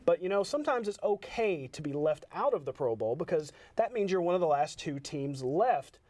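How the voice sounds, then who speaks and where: Higher-voiced male sports host. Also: big pitch swings, normal speed, a little mellow. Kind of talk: upbeat sports highlight commentary and interview.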